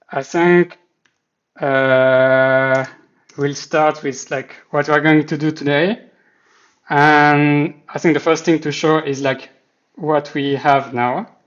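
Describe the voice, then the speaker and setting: A man's voice: mid-range voice, a bit bright. A Swiss man whose first language is French, speaking English with a French accent, hesitantly thinking aloud with many fillers while he sets up his live development stream. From Switzerland. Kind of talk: casual live dev stream. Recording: slightly dull lo-fi stream mic.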